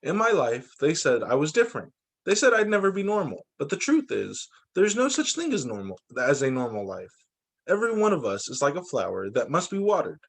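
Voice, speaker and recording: A man reading a picture book aloud to children as a teacher, in an expressive, dramatizing way. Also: a little high, a little fast, vocal fry, husky. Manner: expressive, dramatizing quoted lines.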